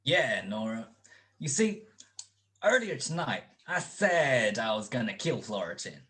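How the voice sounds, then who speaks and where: A man's voice: mid-range voice, sing-song, unhurried, a bit bright. A man playing an eager, hot-headed young college football player in a radio detective drama. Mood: pleading.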